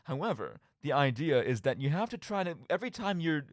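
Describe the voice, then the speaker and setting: A man's voice: a little high, sing-song, brisk, no room sound, studio quality. A man hosting a radio show, giving casual solo commentary on games in an emphatic, rambling way, often interrupting himself.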